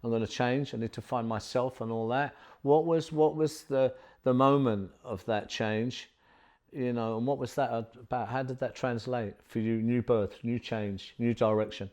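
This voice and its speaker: A man's voice: medium pitch, fairly even intonation, moderate pace, darkish tone. A middle-aged man hosting an interview in a studio, talking effusively and rambling with many fillers. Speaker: podcast host.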